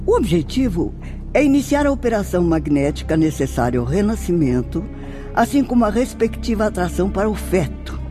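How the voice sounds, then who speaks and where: A woman's voice: mid-range voice, sing-song, fairly quick, dark tone, soft background music, close to an everyday voice. A Brazilian woman playing a composed, formal spirit sister who instructs newcomers in a radio drama. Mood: composed, instructive. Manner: formal, didactic.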